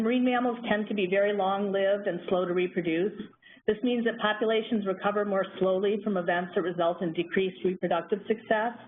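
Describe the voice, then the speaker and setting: A soft-spoken woman giving a measured lecture on a conference call. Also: medium pitch. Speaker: presenter on marine mammals. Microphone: phone line. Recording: tinny phone line, faint noise.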